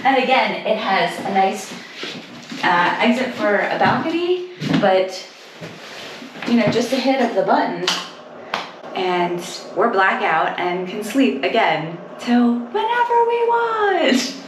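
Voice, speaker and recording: A woman's voice: mid-range voice, sing-song, slow. A woman, talking casually to camera as a travel vlogger indoors. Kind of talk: casual vlog talk to camera. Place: indoors in a small apartment. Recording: echoey rooms, some distant with noise.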